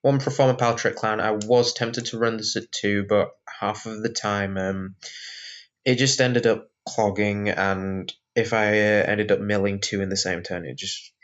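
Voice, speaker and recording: Groggy man with a low voice. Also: steady tone, a little slow. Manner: rambling, frequent ums and uhs. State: sore throat, groggy. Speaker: card game deck reviewer. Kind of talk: casual deck walkthrough. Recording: dull lo-fi recording.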